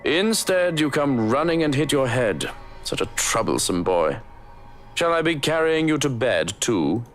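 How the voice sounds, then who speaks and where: An actor in a visual novel game playing a stern, stoic manservant who scolds in a formal, precise, dry way. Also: male voice, mid-range voice, sing-song, a little slow, fairly bright tone. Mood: teasing reproach. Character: stern, stoic, scolding male head servant and protector. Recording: music behind the voice.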